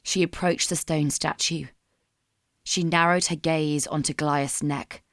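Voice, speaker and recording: A woman narrator reads dramatic fiction in a somber, measured way. Her voice sits a little low, and the recording is dry and close to room-free. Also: fairly even intonation, fairly bright tone, no room sound. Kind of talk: dramatic scripted story narration.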